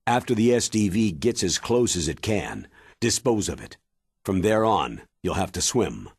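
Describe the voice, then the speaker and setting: An actor playing a stern military commander briefing an agent in a video game, grave and measured in manner. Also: male voice, low-pitched, big pitch swings, dry recording, clean studio sound, full character voice. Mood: instructive. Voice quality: husky, weighty. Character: stern, authoritative older military commander giving mission briefing. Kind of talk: dramatic video game cutscene acting.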